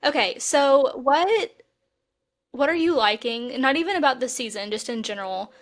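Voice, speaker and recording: Woman, slightly high voice, chatty podcast co-host, animated fan talk in a casual conversational style. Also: normal speed. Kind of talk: casual chat about TV shows.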